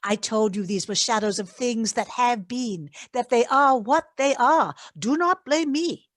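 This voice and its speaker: A woman playing a calm, stern spirit of the past, measured and formal, in a radio play. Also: on the high side, dry recording, clean studio sound, lightly acted.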